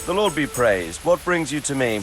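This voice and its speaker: An actor playing an authoritative, fatherly medieval lord in a video game, measured and commanding. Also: medium pitch, sing-song, low-quality recording. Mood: commanding, approving. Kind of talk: video game dialogue acting. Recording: loud background music.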